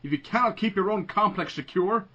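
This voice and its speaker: Guy doing a snooty angry businessman, sharp clipped voice, comic book acting, bit lo-fi. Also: high-pitched, a little fast. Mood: scornful. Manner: clipped, formal, scornful.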